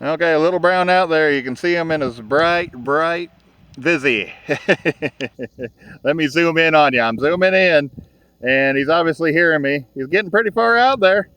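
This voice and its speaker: A man's voice: on the high side, moderate pace, a bit bright, smooth. A middle-aged man giving a casual, folksy vlog demonstration as the host of a vintage gadget channel. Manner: folksy, chuckles often.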